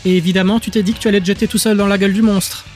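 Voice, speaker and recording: A woman's voice: medium pitch, fairly even intonation, very fast, a little mellow, faint music behind, close to an everyday voice. A woman playing a determined, reproachful wife in dialogue from an audiobook drama. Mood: wry, tense. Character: determined, reproachful wife who tracked her husband.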